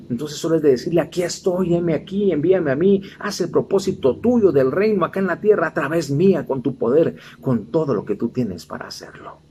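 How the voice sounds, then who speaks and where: Gravelly, mellow-voiced preaching pastor. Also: male voice, fairly high pitch, slight background noise. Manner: preaching with rising emphatic exhortations. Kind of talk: sermon.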